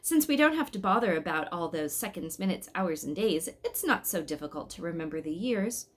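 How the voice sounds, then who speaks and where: A woman's voice: on the low side, animated, brisk. A woman voicing a learned male angel in a storybook, with a didactic, matter-of-fact manner. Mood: informative. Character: learned male angel, precise keeper of dates.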